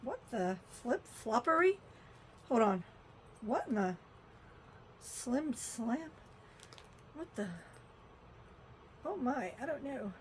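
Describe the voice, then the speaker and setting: A woman's voice: on the high side, lively intonation, slow, pauses often, a little hiss or hum. A woman chatting casually through a shopping haul on camera, exclaiming and muttering as she opens things. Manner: chatty, exclaims and mutters while fiddling with items. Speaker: vlogger unboxing a shopping haul.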